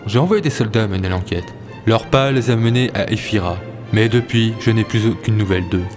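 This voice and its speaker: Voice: medium pitch, fairly quick, music in the background, close to an everyday voice. An actor playing a deferential, polite male palace lord with formal delivery in an amateur audio drama. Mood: grave, courteous.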